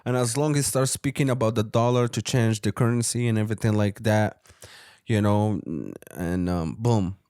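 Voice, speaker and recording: A man's voice: on the low side, steady tone, moderate pace, darkish tone, clear, resonant tone, no echo, clean studio sound. Man from Morocco, rambling casual talk. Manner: rambling, repeats "you know what I'm saying". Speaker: podcast co-host. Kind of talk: casual chat.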